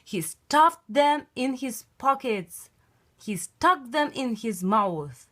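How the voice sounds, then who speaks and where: A woman reading a children's picture book aloud in an expressive, emphatic storytelling style. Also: fairly high pitch, slow.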